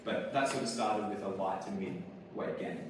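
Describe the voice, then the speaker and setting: A man with a lowish voice gives a relaxed talk to a room, his words padded with ums and light laughs. He sits well back from the microphone, so his voice rings around a big echoey hall with a steady background hiss. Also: normal speed. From Australia. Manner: casual, many fillers and laughs. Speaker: board game designer. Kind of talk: conference talk. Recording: echoey hall, speaker far from mic, audible noise.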